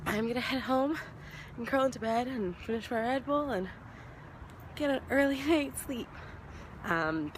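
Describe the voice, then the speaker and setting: A young woman vlogger talking casually to camera, hoarse from losing her voice and tired. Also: medium pitch, noisy background. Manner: chatty, tired. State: hoarse, lost voice.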